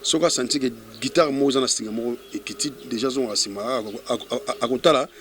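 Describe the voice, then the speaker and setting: A man with a Congolese accent chatting casually as an interview guest in French and Lingala, halting and repeating words. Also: mid-range voice, a little hiss or hum. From Kongo Central, DR Congo.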